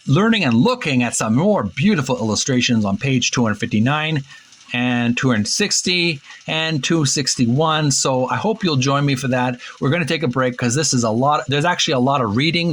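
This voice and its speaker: Man hosting a halting show-and-tell. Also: on the high side, fairly bright tone. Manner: folksy, addresses viewers directly. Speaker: host of a retro video game book show. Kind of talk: casual show-and-tell commentary, describing illustrations aloud.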